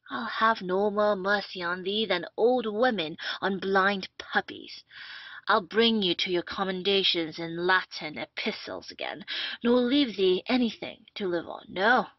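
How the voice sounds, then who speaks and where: A woman playing a vengeful, theatrical male army captain in an old stage comedy, taunting in old-fashioned English. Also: medium pitch. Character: vengeful gloating male army captain, first posing as constable. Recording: muffled lo-fi roomy home recording.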